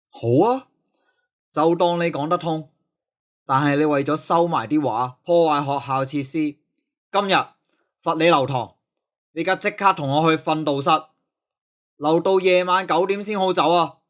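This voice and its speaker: A man's voice: on the high side, a little slow, pauses often, lightly acted. A man playing a strict, suspicious school discipline master in an audio drama, scolding and interrogating. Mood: indignant, commanding. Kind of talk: Cantonese radio drama acting.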